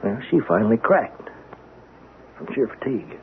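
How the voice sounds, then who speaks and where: A man's voice: fairly low pitch, very animated, normal speed. An actor in an old-time radio drama playing a brusque, weary male surgeon who speaks with curt, patronizing authority. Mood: grave explaining. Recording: tinny, noisy old radio broadcast.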